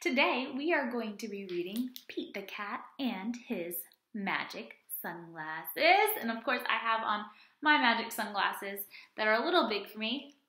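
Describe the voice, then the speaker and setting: A young woman reading a picture book aloud like a teacher, animated and asking children simple questions. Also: a little high, a little slow, roomy sound. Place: small indoor room with plain wall.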